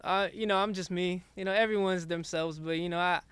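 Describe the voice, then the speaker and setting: A young rap artist talks quietly and haltingly in an interview, often restarting his sentences. His voice sits high and comes through smooth and clear. The sound is dry and close, with no room echo. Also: steady tone. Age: young adult. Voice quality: raspy singing voice. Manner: soft-spoken, halting, many restarts. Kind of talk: casual interview chat.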